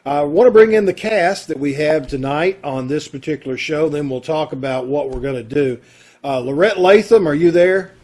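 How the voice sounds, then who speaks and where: Folksy guy hosting an online show on a video call, voice a bit high, chatty and casual. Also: normal speed, a little mellow. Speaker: host of an amateur radio theatre troupe's online show. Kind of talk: casual welcoming chat to an online audience.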